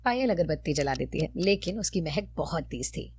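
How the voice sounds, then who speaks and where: A woman's voice: deep voice, animated, normal speed, darkish tone, slight background noise. A woman narrating a scripted cartoon story.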